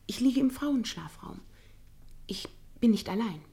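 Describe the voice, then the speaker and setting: An actress in a radio play playing a candid young village woman in a touring troupe, husky and restrained. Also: on the high side, animated, unhurried, dark tone, heavily acted. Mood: frank, resigned. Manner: frank, restrained, resigned. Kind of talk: quiet, intimate German radio play acting.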